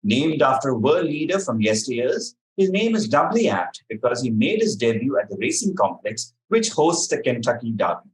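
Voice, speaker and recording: A man's voice: medium pitch, big pitch swings, moderate pace, few pauses, low-quality recording. Articulate male quiz master reading questions.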